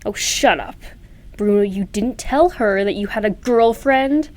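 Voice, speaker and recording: A woman's voice: mid-range voice, a little slow, darkish tone, close to an everyday voice. An actress playing a jealous, indignant girlfriend in a comedy audio drama, sarcastic and confrontational.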